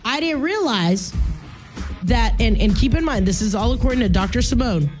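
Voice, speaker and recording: A woman's voice: on the high side, expressive, normal speed, music in the background. A woman hosting a morning radio show in a playful, informal, chatty way, a native Spanish speaker talking in English. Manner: playful, informal, conversational asides and slang.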